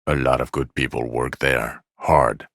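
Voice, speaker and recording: An extremely low, creaky male voice for a middle-aged police detective in a video game. He is jaded and blunt, dripping with weary sarcasm. The sound is close and dry, with no room on it. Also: steady tone, measured pace, fairly bright tone, no room sound, full character voice. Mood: sardonic, curt.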